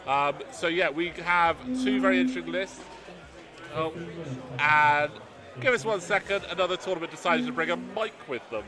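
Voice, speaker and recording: A British man calls a tabletop tournament live, his voice pitched a little high and projected loudly so it carries. He is chatty and quick to poke fun at himself. A lively crowd murmurs clearly behind him. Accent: British English. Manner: loud and chatty, self-deprecating. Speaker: tournament commentator and host. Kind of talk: live game commentary. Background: crowd chatter of a tournament hall.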